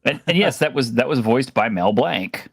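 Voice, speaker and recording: A man's voice: medium pitch, expressive, moderate pace, gravelly, slightly rough, no echo, clean studio sound. A man in a casual stream chat, chatty and joking.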